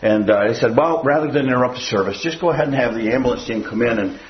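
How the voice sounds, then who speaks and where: A man's voice: mid-range voice, fast talker, dull audio. Folksy older man preaching in an echoey church. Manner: rambling, folksy, repeats "again". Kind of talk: sermon with audience questions.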